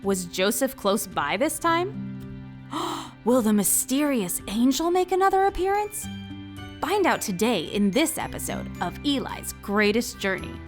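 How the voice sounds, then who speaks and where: A woman presents a children's audio drama as its announcer. Her voice is fairly high and highly animated, with dramatic scripted delivery. Music plays clearly behind her. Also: unhurried.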